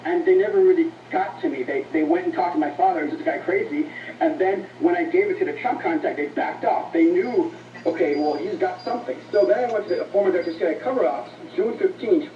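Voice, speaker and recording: High-voiced man on roomy, dull radio playback. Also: animated, quick pace, far from the mic, slight background noise. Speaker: interview guest, author. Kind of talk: earnest broadcast interview answers.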